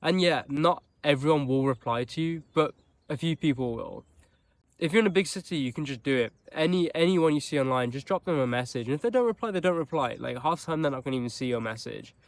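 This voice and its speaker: A man's voice: fairly high pitch, expressive, fast, no echo. A young man with a Birmingham accent, chatting to camera as a vlogger, upbeat and loose, with frequent restarts and asides. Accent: Birmingham English. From England. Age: young adult. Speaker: vlogger and startup founder. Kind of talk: casual vlog talk to camera. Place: outdoors in a mild city.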